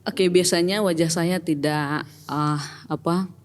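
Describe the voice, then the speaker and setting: A woman's voice: on the low side, steady tone, takes it slowly, long reverb tail. A middle-aged woman with a Batak-flavoured Indonesian accent, a podcast guest who explains things earnestly and keeps adding "gitu ya". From North Sumatra (Batak). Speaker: guest, church pastoral team member. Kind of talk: podcast conversation.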